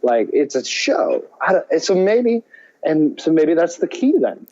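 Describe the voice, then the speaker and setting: Man, podcast co-host, fairly high mellow voice, halting casual delivery, dull lo-fi recording. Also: big pitch swings. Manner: halting, circles around his point. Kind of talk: casual chat.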